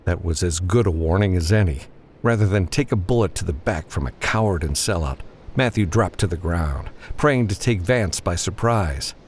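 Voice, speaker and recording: A man narrates fiction in a dramatic audiobook style. His voice is low and moves expressively up and down, with a faint vehicle-like ambience behind it. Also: lively intonation, moderate pace, a little hiss or hum.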